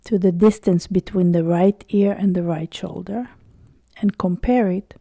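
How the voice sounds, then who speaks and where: Woman doing a guided body scan, really slow and soothing, super dark mellow tone, lots of pauses. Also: fairly low pitch, steady tone, dry recording, studio quality. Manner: soothing, unhurried, with long pauses between short phrases. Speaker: meditation guide.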